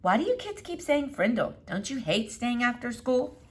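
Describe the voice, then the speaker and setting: A woman's voice: fairly high pitch, big pitch swings, moderate pace, voice pushed up. A woman voicing a direct, inquisitive female newspaper reporter in a children's storybook read-aloud, polite and probing. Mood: curious.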